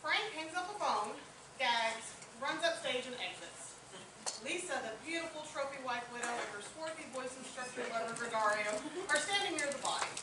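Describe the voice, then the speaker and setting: Woman stage manager, scripted narration for a staged reading, high voice, echoey hall with clear noise. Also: lively intonation, moderate pace. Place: theatre stage before a live audience. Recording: echoey, noisy theatre hall from far off.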